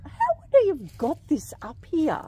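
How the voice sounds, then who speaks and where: A woman exploring outdoors, giving excitable commentary with frequent laughs and gasps. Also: sits high, unhurried. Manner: excitable, laughs often, breaks into gasps and whispers. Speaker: vlogger exploring abandoned places. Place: outdoors on an overgrown rural property.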